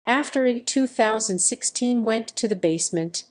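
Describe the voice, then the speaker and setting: Higher-pitched woman narrator. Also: lively intonation, normal speed. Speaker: skit narrator reading time cards. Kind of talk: scripted narration.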